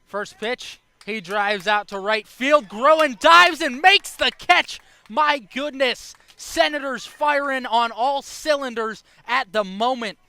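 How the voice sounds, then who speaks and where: High-pitched young American baseball announcer, crisp and bright. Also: male voice, slow, few pauses, dry recording. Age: young adult. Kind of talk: live baseball radio and TV play-by-play commentary.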